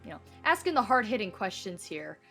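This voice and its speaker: A woman in her twenties chats through a game stream in a casual, joking way. Her voice is bright and a little sharp, and her pitch swings widely as she reacts and answers her viewers. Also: sing-song, brisk, faint music behind. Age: 20s. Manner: joking, chatty, answering chat. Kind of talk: casual live gameplay chat.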